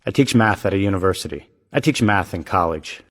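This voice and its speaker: Lower-voiced man reading practice lines. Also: expressive, a little fast, big-room sound. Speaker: dialogue reader in English lessons. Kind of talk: scripted practice dialogue.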